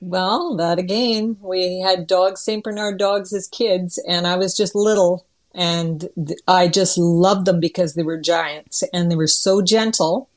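A woman's voice: lowish voice, fairly even intonation, measured pace. A middle-aged or older woman from northern Ontario with a Canadian accent, chatting casually as an interview guest in a folksy, plain-spoken way.

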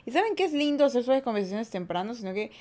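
A woman's voice: a little high, sing-song, fast, mellow. A young woman from Guayaquil with an Ecuadorian accent, hosting a casual livestream podcast, chatty and upbeat as she reads the chat. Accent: Ecuadorian Spanish, Guayaquil. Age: young adult. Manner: chatty, upbeat, playful asides. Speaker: streamer and podcast host. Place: indoors at home, daylight room.

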